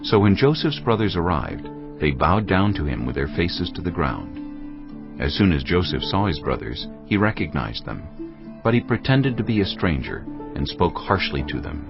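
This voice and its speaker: A man's voice: deep voice, sing-song, moderate pace. A man giving scripted narration for a dramatized scripture recording. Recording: dull audio with clear music.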